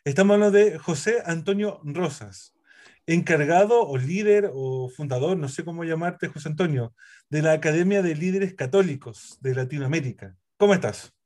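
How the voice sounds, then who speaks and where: Chatty Chilean priest hosting. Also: male voice, on the high side, moderate pace, a little mellow. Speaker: priest and host. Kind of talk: casual radio chat.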